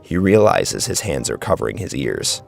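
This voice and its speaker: A man with a gravelly voice narrating a horror story in a dramatic, scripted style. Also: very deep voice, big pitch swings, moderate pace, a little mellow. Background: clear music.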